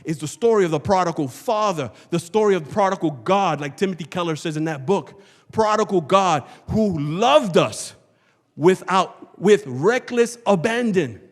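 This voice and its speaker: A man's voice: high-pitched, lively intonation, normal speed. A male pastor preaching a church sermon, emphatic and rhetorical, repeating phrases for effect. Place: large church hall, before a congregation. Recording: long hall reverb, church sanctuary PA.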